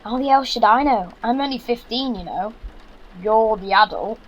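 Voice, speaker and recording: High-voiced sarcastic Yorkshire teenage lad. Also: male voice, moderate pace, lo-fi sound. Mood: indignant. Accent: Yorkshire English. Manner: sarcastic, blunt. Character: sarcastic, exasperated fifteen-year-old son, keen gamer. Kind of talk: naturalistic audio drama acting.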